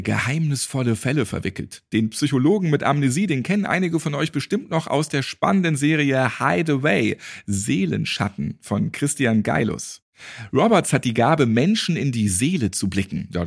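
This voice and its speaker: A man's voice: medium pitch, vocal fry, breathy edge. A man hosting a podcast, giving a scripted promotional read. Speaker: podcast host reading an ad.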